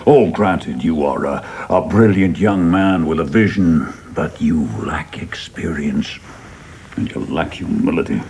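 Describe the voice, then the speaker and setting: An actor in a radio play playing a seasoned, humane government official, questioning deliberately with gentle scorn and pauses. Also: male voice, a bass voice, lively intonation, gravelly, slight background noise, heavily acted. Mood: scornful.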